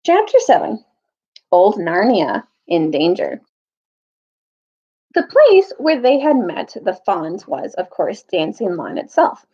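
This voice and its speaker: A woman reads a children's novel aloud with a very animated, swooping delivery. Her voice has a husky edge and she sometimes rereads a line. The audio is a little dull and lo-fi. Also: mid-range voice. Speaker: storyteller reading aloud to students.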